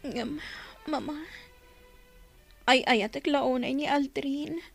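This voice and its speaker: An actress in a radio drama playing a long-suffering, distressed young wife with a plaintive, earnest way of talking. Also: female voice, high voice, slow pace, creaky, faint music behind, heavily acted. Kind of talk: Ilocano radio drama acting.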